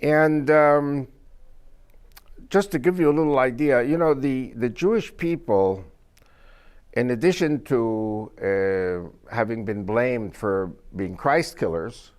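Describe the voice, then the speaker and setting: A man's voice: medium pitch, slow, darkish tone, clear, resonant tone. An older man with Spanish as his first language, giving an informal, rambling talk to a small group as guest speaker and joking as he goes. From United States.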